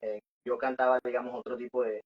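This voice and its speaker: A man's voice: medium pitch, flat delivery, fast talker. A man with a Venezuelan Maracucho accent, a band singer casually answering questions in a radio interview. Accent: Venezuelan Maracucho Spanish. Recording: dull, muffled radio audio.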